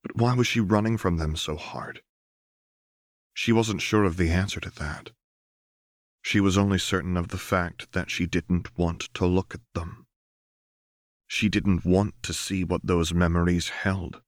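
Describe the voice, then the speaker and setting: A man narrating scripted fiction as an audiobook reader, in a soft, measured, solemn manner. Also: low-pitched, fairly even intonation, no room sound, clean studio sound.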